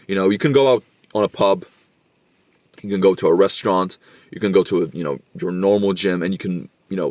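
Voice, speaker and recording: A man's voice: low voice, no echo. A young man with a slightly Scandinavian-tinged English accent, chatting casually to camera, repeating himself and calling the listener bro. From Norway. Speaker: vlogger.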